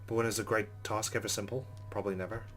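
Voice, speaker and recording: Male let's play host, low voice, rapid pace, reading story narration with commentary. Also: level tone, a bit bright, faint music behind.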